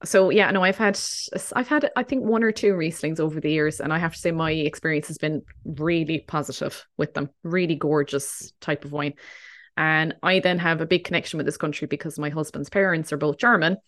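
A woman's voice: low-pitched, brisk, fairly bright tone. A woman, in her thirties, hosting a casual wine podcast and laughing often. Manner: laughs often, bubbly and chatty. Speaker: podcast host. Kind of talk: casual wine podcast chat and scripted intro.

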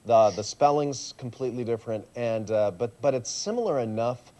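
Middle-aged male pastor in a coffee-shop interview, mid-pitched, earnest and easy to laugh. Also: moderate pace. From USA. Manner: lively, laughs easily, earnest when explaining. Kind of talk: casual interview chat.

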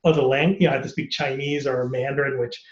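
A man's voice: mid-range voice, flat delivery, fast, roomy sound, lo-fi sound. A man, an actor, talking candidly and casually on a podcast, rambling and laughing often. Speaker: actor guest.

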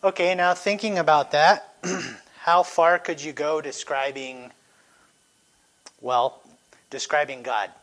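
A man's voice: a little high, steady tone, fairly bright tone. Man teaching a Sunday school class. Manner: conversational, halting with fillers and self-corrections. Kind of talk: church class lesson. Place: church classroom. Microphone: handheld or stand microphone.

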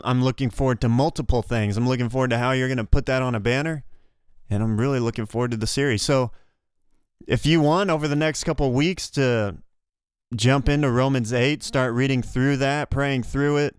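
A man's voice: medium pitch, clear, resonant tone, no room sound, clean studio sound. A man co-hosting a podcast in a casual, conversational style. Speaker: podcast co-host.

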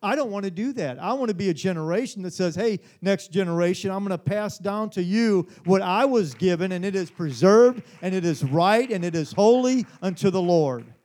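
A man in his forties preaches at a midweek Bible study, with a notably high voice. He talks earnestly to the congregation, often repeating words and checking in with them. His delivery is sincere and conversational, not polished. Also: lively intonation, moderate pace, hardly any pauses, darkish tone. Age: 40s. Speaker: pastor.

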